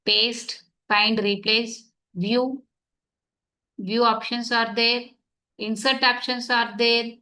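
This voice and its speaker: Woman, Tamil first language, online teacher, bright voice, fairly high, video call mic. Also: flat delivery, slow, rough recording. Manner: explains step by step, checks if students follow. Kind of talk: online class demonstration. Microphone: computer mic on video call.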